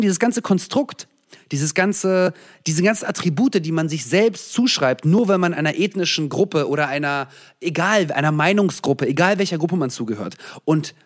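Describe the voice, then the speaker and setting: A man from the former Soviet Union answering interview questions thoughtfully, with frequent pauses, fillers and restarts. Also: a little high. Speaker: guest.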